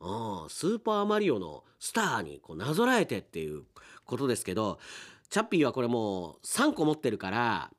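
A man hosting a casual radio show, chatting through listener mail with appreciative comments and asides. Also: mid-range voice. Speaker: radio host and announcer.

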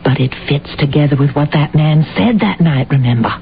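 An actress playing an eager, nosy and self-assured amateur sleuth in an old-time radio drama, with an excitable, stammering delivery. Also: female voice, deep voice, a little fast, creaky. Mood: intent, excited. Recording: tinny, noisy old radio recording.

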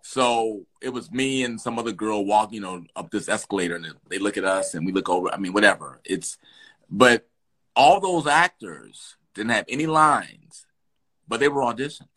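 Bright-voiced man telling stories. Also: fairly low pitch, normal speed. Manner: animated, lively storyteller with restarts. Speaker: guest actor. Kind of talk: storytelling in an interview.

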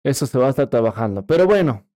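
A man's voice: medium pitch, sing-song, fast, dark tone, dry recording, clean studio sound. A young Mexican man co-hosting a comedic political podcast, with a crude, slangy way of talking and frequent laughter. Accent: Mexican Spanish. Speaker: podcast co-host. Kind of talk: casual comedic political chat.

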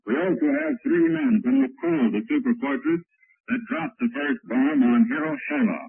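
A man's voice: high voice, huge pitch swings, moderate pace, gravelly, husky, dry recording. A man working as a military combat reporter, interviewing in a formal, scripted 1940s radio news style on a wire recorder. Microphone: wire recorder microphone. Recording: tinny, dry wire-recorded field broadcast.

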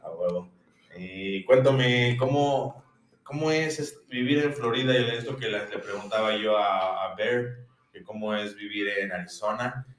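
A male podcast host with a Mexico City accent, chatting in a relaxed, slangy way. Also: on the low side, distant mic. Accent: Mexico City Spanish. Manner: relaxed slangy banter, drawn-out phrases. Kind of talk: casual podcast chat. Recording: echoey room, voice off-mic.

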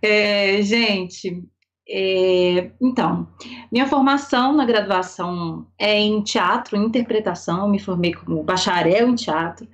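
A woman's voice: medium pitch, unhurried. A woman in her forties with a Minas Gerais accent, a costume designer speaking on an online panel over a video call, thoughtful and full of fillers and restarts. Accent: Minas Gerais Brazilian Portuguese. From Brazil. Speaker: costume designer and theater teacher.

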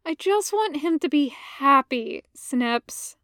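A plaintive young man from a storybook , acted out by a woman reader. He is sheepish and defensive, pitched high, in a very dry recording. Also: lively intonation, slow pace, a bit bright, no room sound, full character voice. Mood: morose. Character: whiny, sheepish, lovesick-meddling young man. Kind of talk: storybook character voice.

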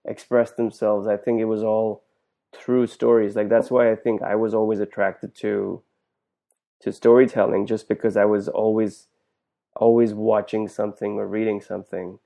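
Creaky-voiced man hosting an interview. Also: fairly low pitch, level tone, normal speed, mellow. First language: Hindi. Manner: thoughtful, rambling, restarts often. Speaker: podcast host. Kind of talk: conversational interview. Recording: dull lo-fi audio.

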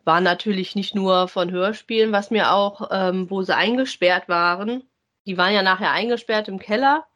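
A woman, co-hosting a relaxed, conversational review of audio dramas. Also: medium pitch, moderate pace. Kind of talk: casual review chat.

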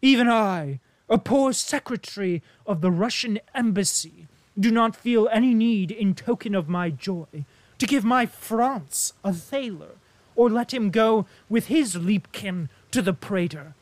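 A man's voice: high voice, lively intonation, a little slow, dry recording, voice pushed up. A man voicing a mocking urbane diplomat. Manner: ironic, teasing emphasis. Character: sardonic witty male diplomat, mocking and urbane. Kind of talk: audiobook character reading.

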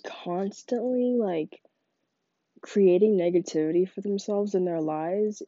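A woman's voice: on the low side, dark tone, no room sound, muffled recording. A young woman with a stuffy, congested voice, talking in a rambling casual monologue full of "like" fillers. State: congested from a cold. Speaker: podcast host.

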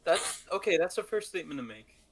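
A man's voice: high-pitched, big pitch swings, a little fast, poor audio. A man co-hosting a podcast, chatting casually and playfully about movies with an enthusiastic manner. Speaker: podcast co-host.

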